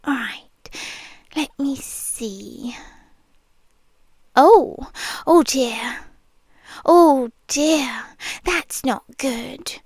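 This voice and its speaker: A British woman playing an obsessive, possessive young nurse in an ASMR roleplay, whispering softly and teasing in a sweetly controlling way close to the mic. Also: sits high, lively intonation, extremely slow, long pauses, no room sound, exaggerated voice. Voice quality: soft, breathy whispering. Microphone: close mic, speaking into the ear.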